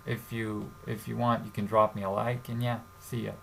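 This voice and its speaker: A young man from Serbia hosting a casual commentary vlog, talking in a halting way with many fillers and restarts. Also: on the low side, little pitch movement, vocal fry, poor audio. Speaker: YouTube channel host. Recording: faint background noise.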